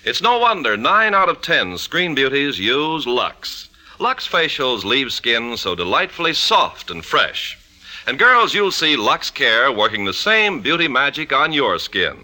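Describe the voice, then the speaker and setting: A man's voice: medium pitch, big pitch swings, unhurried, pushed and bright, poor audio. An American man announcing in 1950s radio style, with polished, emphatic, scripted sales patter.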